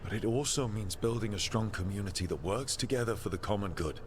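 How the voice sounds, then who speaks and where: An actor playing an earnest, determined heroic leader in a fantasy video game, sincere and resolute. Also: male voice, lowish voice, a little fast, noisy background, natural voice.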